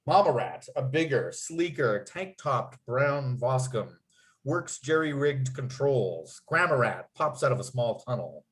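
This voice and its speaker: A man's voice: mid-range voice, lively intonation, unhurried, a bit bright. A man reading script stage directions aloud as narrator, with clipped, dramatic scene headings. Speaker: narrator and writer.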